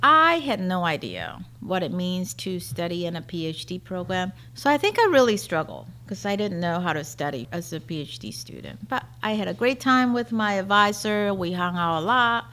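A middle-aged woman with a Taiwanese accent, telling personal stories in simple, short, clearly phrased sentences. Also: on the low side, very animated, moderate pace, hardly any pauses, pushed and bright. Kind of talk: personal storytelling.

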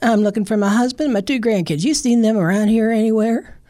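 A woman's voice: on the high side, fast talker, dark tone, no echo, studio quality, close to an everyday voice. A woman voicing an impatient, fussy old grandmother in a told folktale.

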